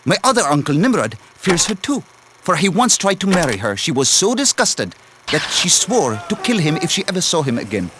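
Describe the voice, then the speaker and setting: A solemn mountain warrior. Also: male voice, a little high, big pitch swings, fairly quick. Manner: solemn, broken English storytelling. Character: proud male mountain fighter, serious, fatalistic. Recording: noisy game cutscene audio.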